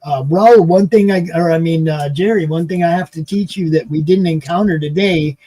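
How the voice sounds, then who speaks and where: A man's voice: a little high, moderate pace, mellow, dry recording, rough recording. A man in his early sixties chatting casually as the host of a streaming panel show, often repeating his phrases as he explains things. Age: early 60s.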